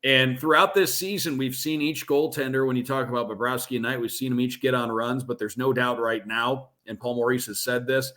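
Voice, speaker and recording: A man speaking as a guest radio play-by-play announcer, giving measured, detailed sports analysis in a conversational way. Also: mid-range voice, fairly even intonation, sharp tone.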